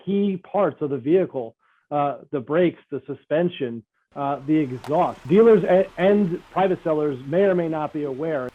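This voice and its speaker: A man giving interview answers as a spokesman over a narrow-band line, phrasing haltingly. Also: a little high. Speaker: company spokesman. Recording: thin phone-like line.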